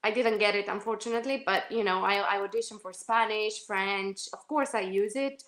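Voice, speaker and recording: Actress, mid-pitched, reflective interview guest who backtracks often; roomy recording. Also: female voice, steady tone, normal speed, a bit bright. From Romania. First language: Romanian. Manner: thoughtful, self-correcting, many fillers and restarts. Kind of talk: casual interview answers. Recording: echoey room.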